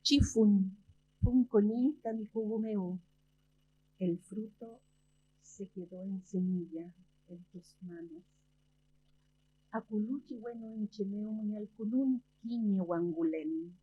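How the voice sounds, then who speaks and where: A woman poet from Chile, talking about her father and then reading her poems in a slow, measured way with long pauses, at a library event. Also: mid-range voice, phone-quality sound, a little hiss or hum. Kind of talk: slow poetry reading and talk.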